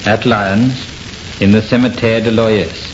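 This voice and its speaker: A man's voice: fairly low pitch, steady tone, unhurried, lightly acted. A man playing a grave, decisive investigator of the uncanny in an old radio horror play. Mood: solemn. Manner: decisive, serious. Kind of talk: 1930s radio horror acting. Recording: muffled, hissy old radio recording.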